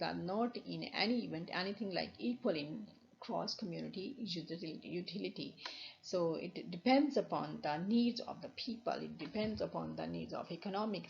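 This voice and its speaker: A woman's voice: fairly low pitch, creaky. A woman with a Pakistani accent, a university lecturer explaining over slides, with many restarts and fillers. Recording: dull lo-fi recording.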